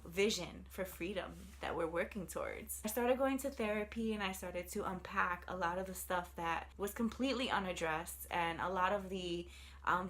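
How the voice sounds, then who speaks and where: A woman's voice: mid-range voice, fairly bright tone. A woman in her thirties from New York City, speaking in an earnest, reflective way as she tells her personal story for a video application. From New York City, United States. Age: 30s. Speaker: artist, social worker and fellowship applicant.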